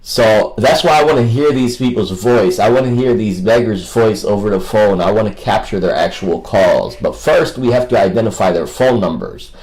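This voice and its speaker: A man's voice: fairly low pitch, expressive, moderate pace, hardly any pauses, a little mellow, low-quality recording. A man rambling casually as a livestream host, with a combative, mocking and coarse way of talking.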